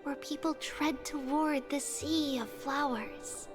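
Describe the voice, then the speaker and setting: A woman voicing a gentle, solemn young girl prophet in a game cutscene. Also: sits high, fairly even intonation, slow pace. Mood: gentle, reflective. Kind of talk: scripted game cutscene dialogue. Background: orchestral music.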